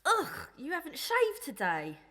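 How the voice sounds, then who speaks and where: A woman's voice: high-pitched, huge pitch swings, a little slow, bright, forceful tone, full character voice. An actress playing a tart, outspoken woman who teases in old-fashioned speech in a melodrama. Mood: tart, scornful. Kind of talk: Victorian melodrama audio acting.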